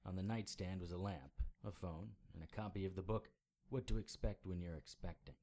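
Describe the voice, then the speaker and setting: An actor playing a hard-boiled, sardonic occult investigator in a noir audio drama, blunt and world-weary. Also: male voice, low voice, a little fast, warm tone. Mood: wry narration.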